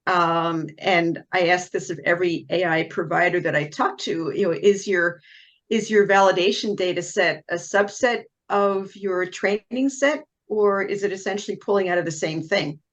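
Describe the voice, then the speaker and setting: A woman with a lowish voice giving candid, thoughtful remarks as a panelist on a video call. She speaks with a wry edge and steady delivery. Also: normal speed. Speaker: panelist, genetics expert.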